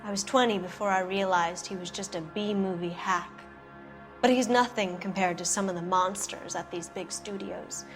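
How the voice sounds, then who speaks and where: An actress playing a cynical, guarded producer's wife in a 1940s noir video game, answering in a cool, bitter, evasive way. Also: female voice, medium pitch, steady tone, normal speed, soft background music, close to an everyday voice.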